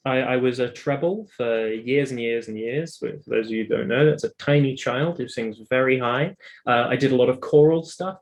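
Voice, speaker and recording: A British man talking casually in an interview, explaining his own story in a talkative, emphatic way. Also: mid-range voice. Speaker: interview guest, singer and vocal coach.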